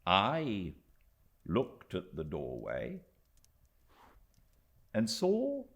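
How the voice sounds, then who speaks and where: A British male audiobook narrator with a low, gravelly voice. He reads literary prose deliberately, swinging his pitch expressively and leaving dramatic pauses between phrases. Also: huge pitch swings. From Britain.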